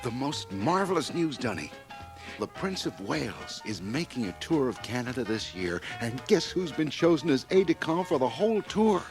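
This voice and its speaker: Boastful young businessman, animated delivery. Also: medium pitch, moderate pace, over music. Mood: excited boasting. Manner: boastful, hearty, opinionated. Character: brash, ambitious, self-satisfied rising young businessman. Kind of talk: radio drama acting. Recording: 1980 radio drama cassette transfer.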